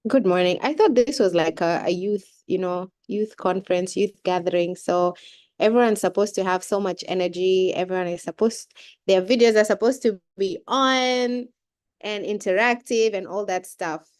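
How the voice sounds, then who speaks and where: A young woman with a cold speaks over a video call, her voice at an ordinary middle pitch. She talks in a loose, chatty way, full of fillers and restarts, as she gives her keynote. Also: normal speed, darkish tone. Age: young adult. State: has a cold. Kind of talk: casual speech on a video call.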